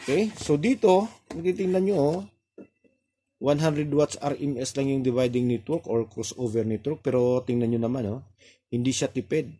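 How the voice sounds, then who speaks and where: A man with a Filipino accent giving a casual hands-on repair tutorial, explaining haltingly, step by step, with little pointing asides. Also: medium pitch, warm tone, slightly rough. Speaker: audio repair technician and reviewer.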